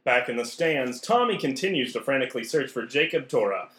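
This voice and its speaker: A man's voice: mid-range voice, animated, brisk, a bit bright, close to an everyday voice. A man narrating a scripted radio play. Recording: roomy, echoing recording.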